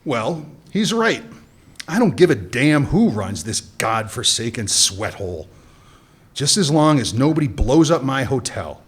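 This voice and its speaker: A man's voice: medium pitch, very animated, measured pace, husky. A man voicing a cynical, world-weary male weapons smuggler in a radio play, with a dry, flirtatious way of talking. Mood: wry.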